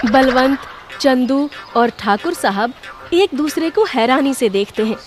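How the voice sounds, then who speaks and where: A woman's voice: high voice, animated, unhurried. A woman reading scripted narration for a cartoon story. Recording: music under the voice.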